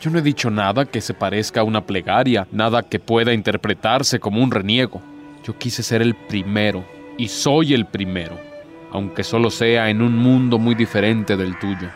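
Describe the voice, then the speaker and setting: A man's voice: on the low side, normal speed, close to an everyday voice. Guy doing a bitter proud devil in a radio play, sarcastic n theatrical, bit of music behind. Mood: proud, defiant. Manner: theatrical, rhetorical, sardonic.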